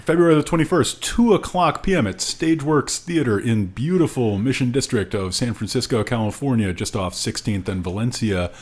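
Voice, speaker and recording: A man's voice: medium pitch, lively intonation, moderate pace, few pauses. Wry man, podcast co-host. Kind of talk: casual podcast banter and plugs.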